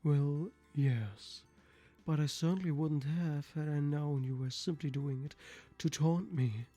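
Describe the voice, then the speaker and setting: A woman's voice: sits low, mellow. A woman with a German accent voicing a haughty, entitled female cat in a visual novel, with formal, pompous phrasing. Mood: offended, indignant. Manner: formal, pompous, slow and theatrical. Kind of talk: acted reading of a visual novel. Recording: music behind the voice.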